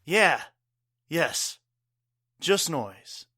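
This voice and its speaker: A gruff but caring bartender dad, played by a man, in an audio drama. He is plain-spoken and wry, recorded dry and close. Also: a little high, expressive, drawn-out words, sharp tone, dry recording, exaggerated voice. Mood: worried. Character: gruff, caring bartender father and former army medic.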